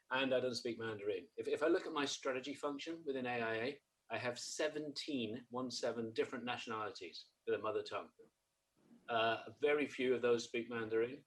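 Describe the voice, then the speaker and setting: A man's voice: medium pitch, low-quality recording. A man on a career panel, chatty and self-deprecating in his points.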